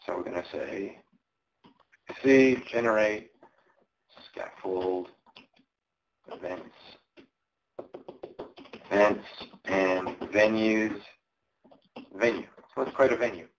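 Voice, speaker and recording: A man's voice: on the low side, slow, long pauses, slight background noise, low-quality recording. A man giving a live coding walkthrough as a tech presenter, casual and explanatory with ums and uhs, and he is losing his voice. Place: conference presentation.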